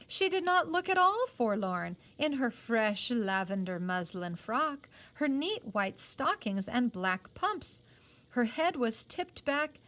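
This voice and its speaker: Lady reading a story book out loud, bit high voice, careful teacher style delivery. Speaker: reader of a children's novel aloud. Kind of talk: read-aloud storytelling with brief explanatory asides.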